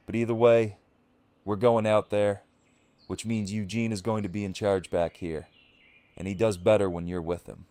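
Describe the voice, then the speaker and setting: A man's voice: lowish voice, steady tone, moderate pace, mellow, no room sound, lightly acted. A man playing a stern, grave leader of a survivor community giving orders in a fan audio drama. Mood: firm, serious.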